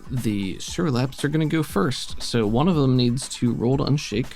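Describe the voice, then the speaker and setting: A man with a gravelly, creaky voice runs a tabletop role-playing game at an ordinary pitch. He talks casually as game master over background music. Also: normal speed, a little mellow.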